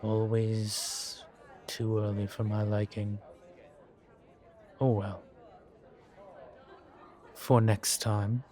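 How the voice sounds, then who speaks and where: A man voicing an urbane demon professor in an audio roleplay, erudite and wryly amused, speaking in a measured, formal way with deliberate pauses. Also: on the low side, warm tone. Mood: brisk, courteous. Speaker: professor lecturing a class. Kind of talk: scripted audio roleplay, lecture and conversation.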